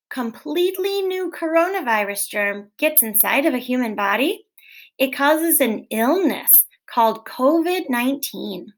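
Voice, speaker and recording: A woman teacher reading a children's book aloud in a gentle, clear, expressive way, posing questions to young listeners. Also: sits high. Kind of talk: read-aloud storytelling for children.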